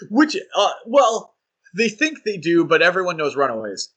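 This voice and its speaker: Man, podcast co-host, bright higher voice, rambling casual chat, enthusiastic. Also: very animated, a little slow. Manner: enthusiastic, rambling with fillers and laughs.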